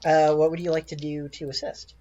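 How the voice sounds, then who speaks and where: A man running a tabletop game as dungeon master, improvising narration haltingly and searching for his words. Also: fairly high pitch, little pitch movement, mellow.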